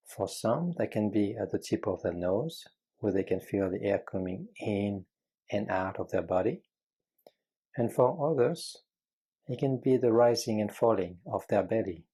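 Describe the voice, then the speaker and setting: Slow, husky, low-voiced man guiding meditation. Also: animated. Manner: gentle, measured, frequent pauses. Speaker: meditation guide. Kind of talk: guided meditation, calm slow instruction.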